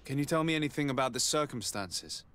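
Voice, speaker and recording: An actor playing a poised, commanding noble diplomat in a video game, speaking formally and politely. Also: male voice, mid-range voice, lively intonation, quick pace, a bit bright, close to an everyday voice. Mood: formal, inquiring. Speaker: noble envoy. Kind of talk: video game dialogue acting.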